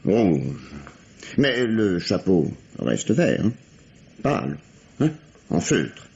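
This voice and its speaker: An actor playing a sardonic, authoritative middle-aged police inspector questioning a suspect in a 1950s-style French radio drama. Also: male voice, sits low, sing-song, drawn-out words, vocal fry, darkish tone, heavily acted. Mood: brusque. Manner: authoritative, sarcastic, theatrical. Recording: dull, lo-fi vintage radio recording.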